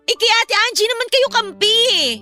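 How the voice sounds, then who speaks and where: A woman's voice: extremely high pitch, animated, a little fast, pushed and bright, faint music behind, full character voice. A woman with a Filipino accent acting a hurt, defiant younger sister in an audio drama, sulky and defensive. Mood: defiant, upset.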